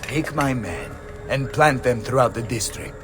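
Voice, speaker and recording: An actor playing a bold, blustering Renaissance mercenary captain with an Italian accent in a video game. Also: male voice, mid-range voice, measured pace, music in the background, close to an everyday voice. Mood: confident, scheming. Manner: blustering, theatrical. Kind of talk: video game cutscene acting.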